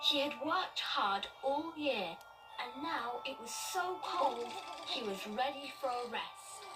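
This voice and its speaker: A woman reading children's stories in an expressive storytelling style. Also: high-pitched. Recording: distant mic, music underneath. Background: music.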